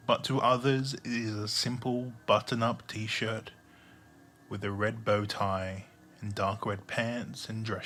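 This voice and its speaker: A man narrating a scripted audio drama in the second person, in a measured storytelling manner. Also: mid-range voice, a bit bright.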